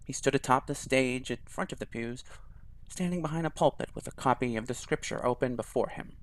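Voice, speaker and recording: A man reading a visual novel aloud in a quiet, even storytelling voice. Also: mid-range voice, a little fast.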